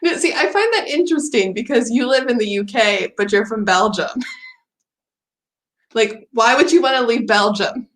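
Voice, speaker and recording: A woman's voice: on the high side, expressive, moderate pace, fairly bright tone. A woman from the United States hosting a livestream, chatting casually, laughing often and asking her guest questions. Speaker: livestream host.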